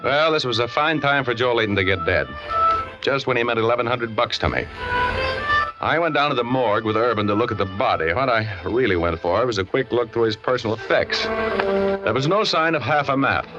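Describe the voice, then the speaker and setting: An actor playing a wisecracking, money-minded private detective in a slangy, flippant 1940s radio drama style. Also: male voice, mid-range voice, a little fast, natural voice. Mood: wry narration. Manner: slangy, flippant, hard-boiled. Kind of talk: 1940s old-time radio acting with hard-boiled narration. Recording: muffled old radio broadcast with music.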